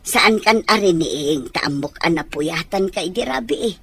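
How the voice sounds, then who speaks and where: A woman's voice: lowish voice, animated, normal speed, creaky, fairly bright tone, husky, exaggerated voice. An actress with an Ilocano accent playing a doting, fussing mother and grandmother-to-be in a radio comedy drama. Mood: fussing, caring.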